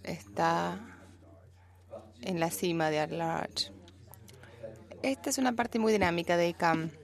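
A woman with a Rioplatense Spanish accent doing simultaneous interpretation, relaying speech in a steady, even way. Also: on the low side, slow pace.

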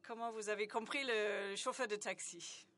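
A woman's voice: mid-range voice, steady tone, moderate pace, fairly bright tone. A woman giving live simultaneous interpretation at a conference, with many hesitations, fillers and restarts.

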